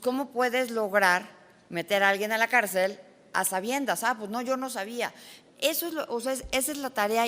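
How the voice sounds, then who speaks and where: A woman's voice: medium pitch, fairly bright tone. Woman, forum speaker, questioning, casual fillers, hall reverb. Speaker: forum participant. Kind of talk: spoken remarks at a panel forum. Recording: hall reverb in a large room.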